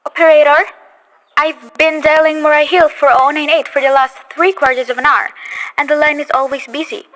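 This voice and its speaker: A student voicing a frantic invalid wife. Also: female voice, high-pitched, flat delivery, brisk, a bit bright, poor audio. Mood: anxious. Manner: anxious, insistent, fretful. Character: nervous bedridden wife, alone at night. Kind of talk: student radio play.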